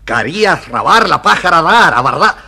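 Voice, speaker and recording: A man's voice: a little high, big pitch swings, moderate pace, vocal fry, pushed and bright, husky, big-room sound, exaggerated voice. A man voicing a comic, menacing storybook king who pompously mangles his words by swapping the vowels. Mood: threatening. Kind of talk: recorded children's storybook dramatization.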